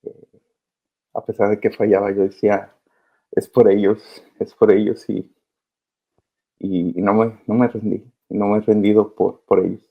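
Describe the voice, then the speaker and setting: A gravelly man, the earnest guest on a podcast heard through a slightly dull connection, feeling for his words. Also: lowish voice, level tone, normal speed, pauses often. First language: Spanish. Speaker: interview guest, migrant children's advocate. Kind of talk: casual, earnest interview answers.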